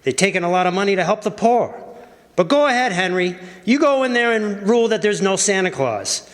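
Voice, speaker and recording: A man's voice: sits high, expressive, brisk. A man playing a shrewd, wisecracking political adviser, persuasive and emphatic, in an old-fashioned radio drama. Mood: cajoling, sardonic. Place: live performance on a college campus. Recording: reverberant hall stage recording.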